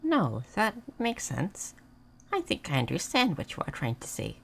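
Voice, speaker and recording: Woman acting as a curious moth person, low creaky voice, gentle, halting formal phrasing, audio roleplay. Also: huge pitch swings, heavily acted. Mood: soft wonder. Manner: halting, simple formal phrasing without contractions. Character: gentle, naive, curious moth person learning human things. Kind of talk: scripted roleplay audio addressed to the listener.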